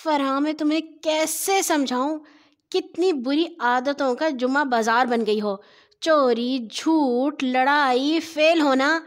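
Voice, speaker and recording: A woman's voice: sits high, natural voice. A woman voicing an exasperated older sister in a children's story, emphatic, reproachful and instructive. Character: exasperated older sister scolding then gently advising.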